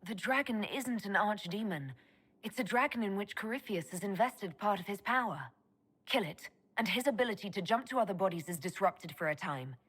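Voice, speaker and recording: A woman playing a stern, sharp elf mage commander in a video game, speaking in a curt, firm manner with some huskiness. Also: mid-range voice, lively intonation, fairly quick, breathy edge. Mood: cold, resolute. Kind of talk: dramatic video game dialogue.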